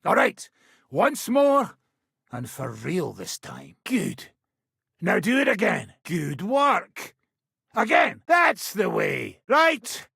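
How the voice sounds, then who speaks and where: Gruff, gravelly medieval swordsman. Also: high voice, huge pitch swings, takes it slowly, sharp tone, no room sound, clean studio sound, exaggerated voice. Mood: barking, impatient. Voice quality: rough, gravelly. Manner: blunt, commanding, instructive. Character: gruff, impatient seasoned male mercenary swordsman teaching combat. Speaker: video game character, sword-fighting trainer. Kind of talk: medieval video game voice acting.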